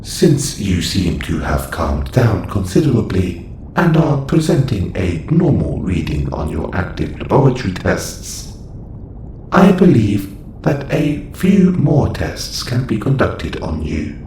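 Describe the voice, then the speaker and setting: A man's voice: deep voice, big pitch swings, slow, warm tone, full character voice. A man playing a formal, clinical caretaker robot in a sci-fi audio roleplay, speaking in stilted, precise phrases. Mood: clinical, advisory. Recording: roomy echo, faint background noise.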